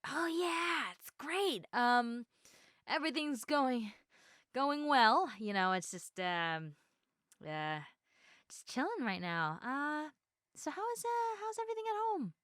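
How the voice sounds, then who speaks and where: A woman performs an eager, socially awkward young woman in an intimate roleplay audio. Her voice is high and swings widely in pitch as she chats haltingly and excitably to the listener. The sound is very dry, with no room around it. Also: no echo, full character voice. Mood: awkward, flustered.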